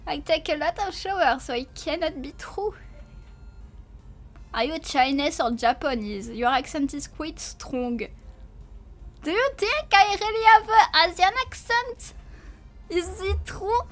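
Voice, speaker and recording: A French woman with a French accent chatting casually on a livestream, halting and repeating herself as she playfully protests to viewers. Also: very high-pitched, lively intonation. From France. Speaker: livestreamer, VTuber.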